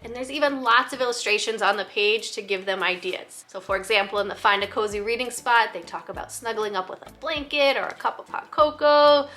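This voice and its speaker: A woman with a high, very bright voice speaks as a teacher in an instructional video. She explains each idea clearly and encouragingly, with music playing behind her. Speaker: teacher giving a lesson to other teachers.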